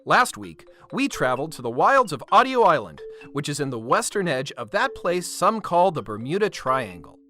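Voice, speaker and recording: An actor playing an upbeat, mock-earnest wildlife show host, narrating a parody nature documentary with polished, dramatic announcer delivery. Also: male voice, a little high, big pitch swings, moderate pace, hardly any pauses, pushed and bright, soft background music.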